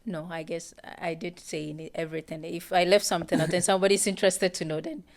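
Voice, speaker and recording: A woman's voice: on the low side, little pitch movement, quick pace. A woman in her twenties from Ghana, a guest chatting casually in a live-streamed interview, hesitant and repeating her words.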